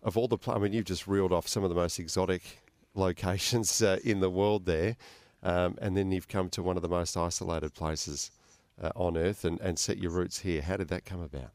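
A man with a very deep voice hosts an interview, asking loose conversational questions full of small restarts. The sound is dry and close, with no room around it. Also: steady tone, fairly quick, hardly any pauses, darkish tone, no echo. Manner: conversational, stumbling restarts with many uh fillers. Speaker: radio interview host. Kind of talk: interview hosting.

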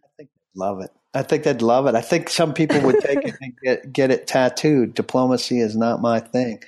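A middle-aged man hosts a casual podcast chat, blunt and rambling, often restarting his sentences. His voice sits at a middling pitch for a man. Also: normal speed, darkish tone. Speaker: podcast host.

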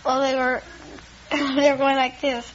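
A woman's voice: sits high, monotone, measured pace. A young teenage American girl chatting and answering questions as a contestant on a quiz show. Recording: dull, noisy old radio recording, audience. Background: studio audience.

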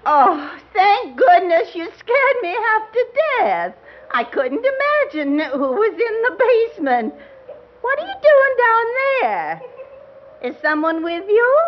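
A woman's voice: extremely high pitch, big pitch swings, slow, heavily acted. An actress playing a fretful, doting elderly grandmother who rambles and fusses, in an old-time radio drama. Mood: fretful, chatty. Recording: dull old radio recording with music.

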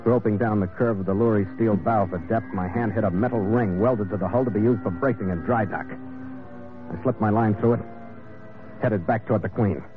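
An actor playing a tough, wry sea captain who also narrates a vintage radio adventure in a clipped way. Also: male voice, fairly low pitch, moderate pace, music in the background, lightly acted. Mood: wry narrating. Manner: clipped, wry, hard-boiled. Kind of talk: old-time radio adventure acting and narration. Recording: tinny vintage radio recording.

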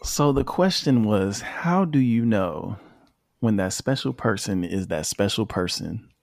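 A man hosting a podcast interview in a relaxed, casual way, with lots of easygoing fillers. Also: lowish voice, measured pace, creaky, no echo. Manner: relaxed, leans on "you know" fillers. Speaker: podcast host. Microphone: remote call.

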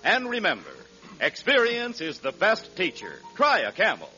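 A man's voice: high-pitched, wildly swooping pitch, moderate pace, bright, forceful tone, faint music behind. A man reading scripted announcements in the formal style of a 1940s radio announcer. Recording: dull old radio broadcast recording.

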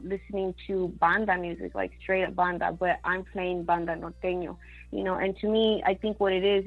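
Woman guest, Mexican-born singer-songwriter; rambling, filler-heavy talk with Spanish words; gravelly voice, dull recording. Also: lowish voice. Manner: chatty, many fillers, mixes in Spanish words. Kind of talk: casual interview chat.

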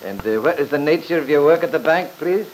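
An actor playing a shrewd, courtly lawyer who questions in a measured, formal, probing way, in old-time radio drama style. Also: male voice, a little high, expressive, a little mellow, lightly acted. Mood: probing, courteous. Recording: noisy vintage radio recording.

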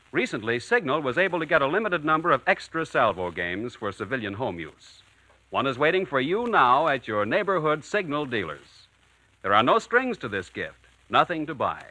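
Old-time radio ad guy, polished pitch, very lively swings, crisp forward tone. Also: low-quality recording. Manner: polished, salesman-like. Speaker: radio announcer and sponsor pitchman. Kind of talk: 1940s scripted radio commercial announcing. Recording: vintage 1940s radio broadcast.

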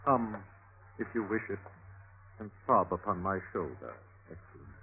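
An old, tinny radio recording of a Renaissance henchman. The voice sits slightly low. The delivery is theatrical and clipped, ominous in tone with a cool cynical edge. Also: expressive, moderate pace, soft background music. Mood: coolly consoling. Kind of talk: classic radio drama acting.

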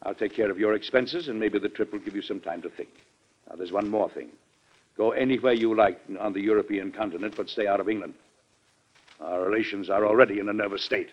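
An actor playing a dignified, worried American president and father in an old-time radio drama, formal and measured with some hesitation. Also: on the low side, husky. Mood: grave, regretful. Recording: dull, band-limited old radio recording.

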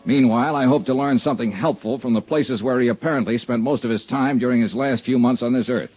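An American actor playing a brisk, wry, hard-boiled insurance investigator in a 1950s radio detective drama. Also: male voice, medium pitch, husky, close to an everyday voice. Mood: wry, businesslike. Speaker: lead actor and narrator. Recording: dull vintage radio recording, faint music.

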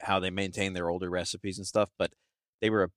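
A man hosting a food podcast, asking questions in quick, enthusiastic casual talk. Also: low-pitched, little pitch movement, a bit bright, no room sound, clean studio sound. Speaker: podcast host.

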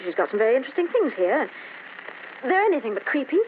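An actress playing a jealous, defensive young wife in an old time radio horror drama, on a noisy, tinny vintage recording. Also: female voice, sits high, big pitch swings, fast talker. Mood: brisk, dismissive. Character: jealous, defensive young wife, newly moved into an uneasy house.

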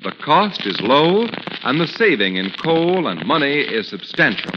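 A man's voice: fairly high pitch, very animated, unhurried. A man reading a scripted commercial as a 1940s radio announcer. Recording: muffled, noisy old radio recording, reverberant.

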